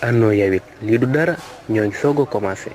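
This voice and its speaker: A man with a Senegalese accent acting a smooth-talking young suitor in a comic cartoon, switching between French and Wolof. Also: on the low side, animated, quick pace, darkish tone, slight background noise, lightly acted. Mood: assertive. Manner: mixes French phrases into Wolof. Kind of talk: comic animated cartoon dialogue.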